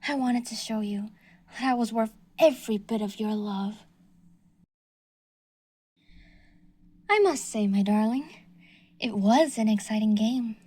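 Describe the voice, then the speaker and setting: A woman's voice: on the high side, moderate pace, mellow, husky. A young woman playing an obsessive, delusional stalker in an audio roleplay, talking sweetly and menacingly and slipping into whispers. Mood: reminiscing.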